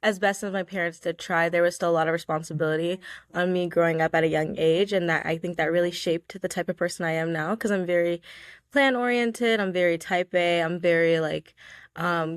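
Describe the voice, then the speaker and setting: A woman's voice: on the low side, steady tone, a little fast, dry recording, clean studio sound. A young woman, a student guest from Georgia in the United States, answering interview questions casually with many "like"s and "um"s. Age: young adult. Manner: chatty, many fillers like "like" and "um".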